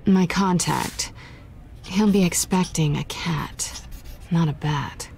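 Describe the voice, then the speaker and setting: Low, mellow sly woman thief. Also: creaky, slight background noise. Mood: pressing, cool. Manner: teasing, sultry, deliberate. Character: sly, flirtatious woman thief, cool and self-assured. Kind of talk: video game voice acting.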